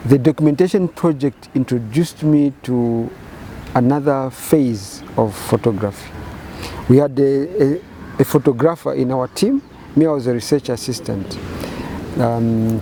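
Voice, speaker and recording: A Zambian man in his fifties speaks slowly and thoughtfully, stopping often and repeating words as he gathers his thoughts. His voice is mellow and dark. Faint traffic noise hums behind him. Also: mid-range voice. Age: 50s. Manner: measured, halting phrasing with repeated words and fillers. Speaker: painter and photographer interviewee. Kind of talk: reflective interview answers.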